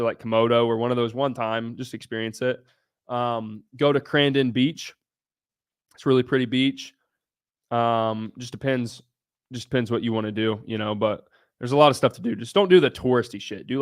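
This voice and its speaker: A man's voice: medium pitch, steady tone, normal speed, clear, resonant tone, dry recording, studio quality. A young man from Southern Ohio hosting a casual livestream, answering viewers' questions in a brash, blunt way and swearing freely. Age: young adult. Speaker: livestream host.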